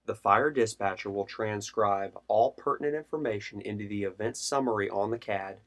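Male narrator, low register, formal and measured, for scripted training material. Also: a bit bright.

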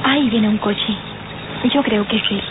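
An actress playing a composed, determined young daughter in an old radio soap. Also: female voice, medium pitch, moderate pace, lightly acted. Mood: hushed, reassuring. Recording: tinny old radio recording, faint noise.

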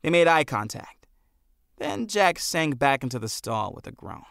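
A man's voice: fairly high pitch, sing-song, a little slow, creaky, a bit bright, dry recording. A man narrating a story expressively as an audiobook reader, close on a studio microphone.

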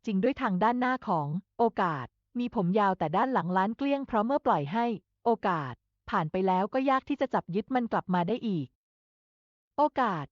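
A woman's voice: a little high, lively intonation, fairly quick, dry recording, lo-fi sound. A woman reading a scripted tale as a storyteller.

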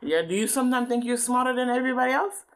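A woman's voice: a little high, very fast. A woman reading aloud to a child as a parent, explaining things and checking understanding with questions.

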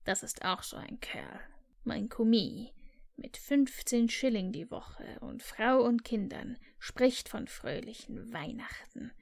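A woman's voice: a little high, lively intonation, slow, vocal fry, darkish tone, exaggerated voice. A woman reading the part of a grumpy, miserly old man who answers curtly and scornfully. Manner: curt, scornful grumbling.

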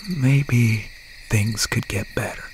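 Casting: male actor as bitter, possessive young slasher for ASMR audio drama; unhurried, half-whispered threat, mid-pitched, over cricket-filled night ambience. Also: noisy background, lightly acted. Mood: wounded, obsessive. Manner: slow, breathy, half-whispered menace with pauses. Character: obsessed, bitter young slasher boyfriend, menacing serial killer. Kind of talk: ASMR roleplay, dramatic monologue. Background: crickets, insects and owl night sounds.

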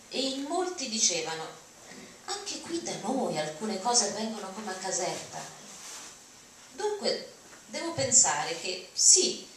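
A woman's voice: mid-range voice, bright, forceful tone. A middle-aged woman gives a dramatic reading of prose from a lectern. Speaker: reader of literary texts. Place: auditorium, at a lectern before an audience. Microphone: lectern mic, recorded from far camera. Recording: very echoey hall, distant mic, noisy.

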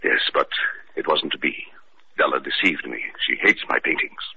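Very deep, gravelly, fussy rich husband. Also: male voice, lively intonation, full character voice. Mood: wistful. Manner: halting, stammering with uh and well. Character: hesitant, suspicious wealthy husband, a fussy art lover. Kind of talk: 1940s-50s radio detective drama acting. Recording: dull old radio recording.

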